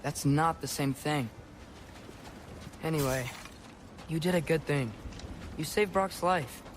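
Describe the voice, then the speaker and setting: A man's voice: fairly high pitch, takes it slowly, background noise. A young man voicing a restless, earnest teenage boy adventurer in a video game, frank and plain-spoken.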